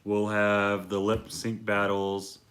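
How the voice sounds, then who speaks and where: Casting note: deep-voiced man in his thirties, easygoing vlogger, rambles through channel updates. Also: takes it slowly. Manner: relaxed and rambling, many fillers. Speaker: reptile vlogger. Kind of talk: casual talk to camera.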